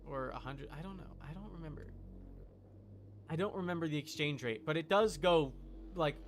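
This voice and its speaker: Rambling male streamer with a creaky voice. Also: on the high side, normal speed, slight background noise. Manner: rambling, unsure, thinks out loud. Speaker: video game streamer. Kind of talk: casual livestream chat while gaming.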